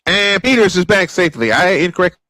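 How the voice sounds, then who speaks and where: An older man doing old-school play-by-play baseball commentary as a fan broadcaster, calling pitches and counts and getting excited on close plays. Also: quick pace, no room sound. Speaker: play-by-play announcer.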